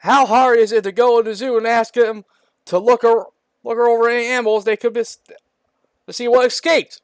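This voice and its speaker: A man's voice: mid-range voice, expressive, moderate pace, a bit bright, dry recording, voice pushed down, lightly acted. A man voicing a frustrated small-town hillbilly sheriff, ranting emphatically as he reads out a comic story. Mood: angry. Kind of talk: hammy reading of a comic story.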